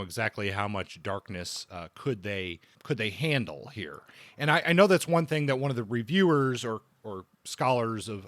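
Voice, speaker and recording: A man co-hosting a podcast, casually discussing books in a halting way, often rephrasing as he thinks aloud. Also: on the low side, fairly bright tone, no echo.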